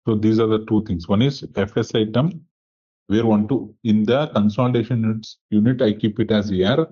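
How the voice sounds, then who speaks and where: A man working as a software trainer, walking through a live demo step by step, thinking aloud and asking himself questions. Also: fairly low pitch, steady tone, normal speed, breathy edge, muffled recording.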